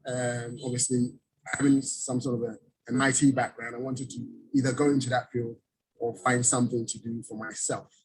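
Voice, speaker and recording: A middle-aged man from Ghana, a business owner talking casually in an interview over a video call, relaxed, often chuckling and restarting. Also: medium pitch, normal speed, husky, low-quality recording.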